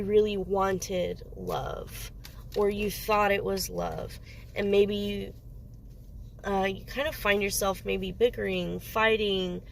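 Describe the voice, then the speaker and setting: Woman tarot reader with a head cold, gravelly voice, slightly noisy background, rambles and searches for words. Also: medium pitch. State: sniffly with a cold. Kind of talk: casual solo tarot reading.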